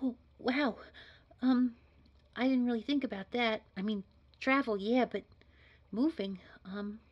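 A woman's voice: a little high, fairly even intonation, a little slow, lo-fi sound. A woman playing an eager young job applicant in an audio roleplay, hesitant, gushing and nervously bubbly. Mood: flustered. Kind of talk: scripted audio roleplay acting.

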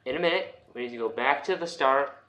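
A man's voice: medium pitch, fast talker, a bit bright. A man playing a video game, chatting casually and jokingly talking to the characters in it. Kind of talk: casual co-op gameplay chat. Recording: roomy echo, background noise.

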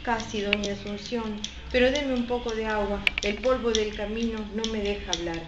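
A woman's voice: mid-range voice, steady tone, moderate pace, lightly acted. An Argentine woman voicing a dramatic village woman bearing bad news in a radio soap. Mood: reluctant, gossipy. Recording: echoey, noisy, muffled home recording.

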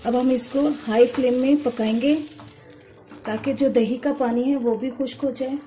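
A woman hosting a cooking video, giving calm step-by-step instructions and softly murmuring a blessing before each ingredient goes in. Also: high voice, moderate pace. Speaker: cooking channel host. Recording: noisy kitchen recording with sizzling. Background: frying and sizzling in the pan.

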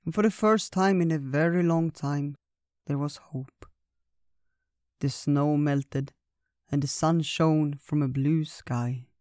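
A wise old bear from a storybook , as a man puts him on, gentle and unhurried. He speaks slowly and warmly, like a grandfather telling tales. The recording is dry and close. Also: a little high, no room sound. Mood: kindly. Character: kindly wise old male bear, slow-spoken.

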